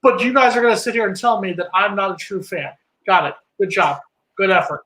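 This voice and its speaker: A man co-hosting a casual sports chat, ranting sarcastically in a high voice. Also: animated, brisk, pushed and bright. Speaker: co-host.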